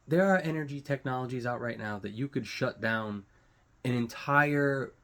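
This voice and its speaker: A man hosting his own online show, giving casual unscripted news commentary in a halting, rambling way, searching for words and swearing casually. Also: mid-range voice, a bit bright.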